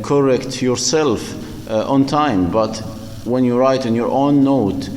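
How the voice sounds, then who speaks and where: A male university lecturer, speaking slowly and haltingly with many fillers and repeated words in a recorded lecture. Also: medium pitch, darkish tone, reverberant, big-room sound. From Palestine.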